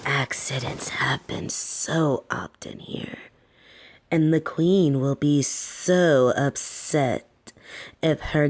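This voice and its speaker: A woman playing a soft-spoken, domineering lamia caretaker in a roleplay audio, teasing and coaxing with a condescending, softly menacing edge. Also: extremely low pitch, heavily acted. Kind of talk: scripted roleplay audio, intimate second-person address.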